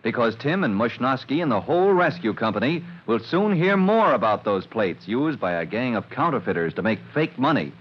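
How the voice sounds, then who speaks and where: A man's voice: medium pitch, very animated, normal speed, hardly any pauses, husky. An American man announcing a children's radio serial in a dramatic, scripted mid-century style. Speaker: announcer, narrator. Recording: muffled old radio recording.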